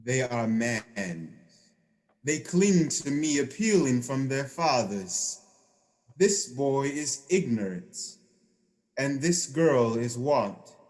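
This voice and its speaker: An actor playing an aged, solemn and stern dying spirit in an audio drama, speaking gravely and portentously. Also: male voice, medium pitch, very animated, a little mellow. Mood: grave warning. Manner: slow, grave, portentous delivery. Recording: long cavernous reverb.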